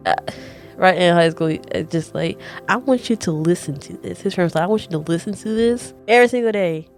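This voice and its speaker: A young woman telling her own story as a podcast guest, chatty and lively, quoting herself often. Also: fairly low pitch, faint music behind. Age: young adult. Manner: chatty, quick bursts, frequent "like" and quoted self-talk. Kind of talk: casual personal storytelling.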